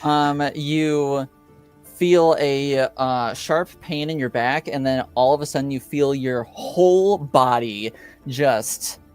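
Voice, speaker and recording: A man runs a tabletop roleplaying game as game master, with a smooth, clear voice. He narrates slowly and hesitantly, assembling each scene piece by piece in improvised fragments. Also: mid-range voice, a little mellow, soft background music. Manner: halting, hesitant, piecing scenes together in fragments.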